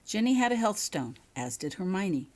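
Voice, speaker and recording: A woman's voice: mid-range voice, very animated, moderate pace. A woman reading her own written story aloud as scripted audiobook narration.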